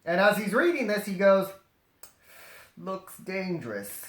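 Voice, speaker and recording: A man's voice: high voice, very animated, measured pace, fairly bright tone. A man reading a story aloud as comedy, breaking off into jokey, dramatized ad-libbed asides. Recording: roomy echo, faint noise and clicks.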